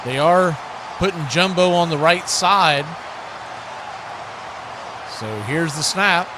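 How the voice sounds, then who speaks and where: High-voiced man calling football, noisy background. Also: takes it slowly. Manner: conversational, mixes calls with opinion. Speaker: play-by-play announcer for a fan watch-party stream. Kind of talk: live football commentary. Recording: clearly noisy live stream audio.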